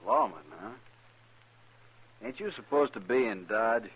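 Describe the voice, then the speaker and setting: An actor playing a surly, guarded wagon driver in a 1950s radio western, curt and suspicious. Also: male voice, medium pitch, huge pitch swings, measured pace, creaky, a bit bright, husky, exaggerated voice. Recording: dull, noisy vintage radio recording.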